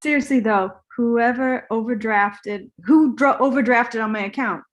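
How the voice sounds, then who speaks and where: A woman reads the part of an entitled young movie star who is a bit clueless. The voice is fairly high, delivered as in a live comedy script reading. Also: unhurried, natural voice. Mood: put out. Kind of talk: live comedy table read.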